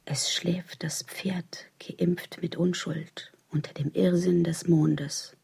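Gravelly, solemn apocalyptic poetic voice. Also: female voice, fairly low pitch, fairly even intonation, darkish tone, heavily acted. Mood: hushed. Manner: slow, incantatory, fragmented phrases. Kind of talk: dramatic radio poetry recitation.

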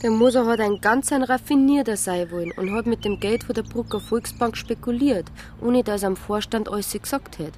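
An actress playing a brisk, well-informed small-town woman in a radio drama, talking drily in Bavarian dialect. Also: fairly high pitch, a little mellow, lightly acted. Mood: explaining. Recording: faint ambient noise behind.

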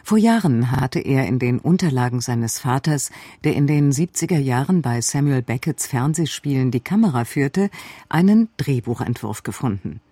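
A man presenting on radio, reading a scripted introduction in a measured, explanatory way. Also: mid-range voice, lively intonation, warm tone, no room sound. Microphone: close studio microphone.

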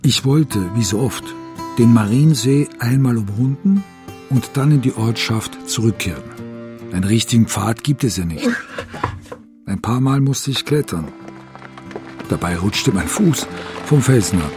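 A man's voice: medium pitch, animated, unhurried, pauses often, natural voice. An actor playing a brooding, stubborn male hotel guest in a radio play, telling his story confidingly, step by step. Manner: confiding, recounting an ordeal step by step. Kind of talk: radio play dialogue and monologue. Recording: music behind the voice.

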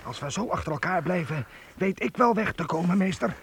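A loyal, scrappy servant with a gravelly edge and a lively, rising pitch. Hiss hangs under the voice. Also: male voice, fairly quick. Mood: eager. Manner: eager, defiant. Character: loyal, hot-tempered young servant. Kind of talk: radio play acting. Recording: hissy old tape.

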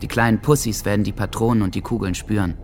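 A man playing a troubled, lovesick teenage boy in a radio drama, speaking his diary in a brooding, introspective, measured way. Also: on the low side, fairly even intonation, slight background noise, lightly acted. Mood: menacing. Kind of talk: radio drama monologue, intimate diary reading.

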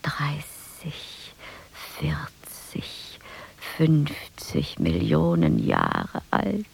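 An actress playing a wry, easily irked dreaming woman who narrates her dream in a hushed, deliberate radio-play monologue. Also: rumbling low, wildly swooping pitch, vocal fry, slightly rough, whispered, exaggerated voice. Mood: entranced, musing. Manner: hushed, deliberate, musing, with dramatic swings.

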